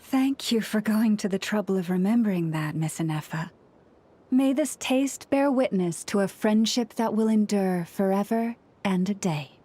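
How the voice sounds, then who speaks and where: A woman playing a gentle, gracious young maiden in a video game, speaking softly and poetically. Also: medium pitch, normal speed, husky, lightly acted. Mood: gentle, grateful.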